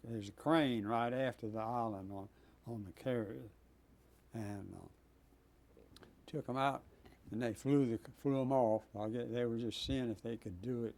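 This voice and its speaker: Halting, mellow-voiced man in his nineties. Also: medium pitch. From Texas. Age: 90s. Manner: halting, many pauses and fillers. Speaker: Navy veteran guest. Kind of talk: oral history interview.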